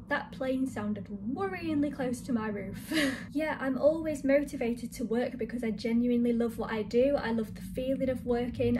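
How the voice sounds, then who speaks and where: A young woman from the UK chatting casually to camera about her week in her flat, upbeat and laughing often. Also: fairly high pitch, background noise. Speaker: vlogger. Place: small flat living room and kitchen, indoors.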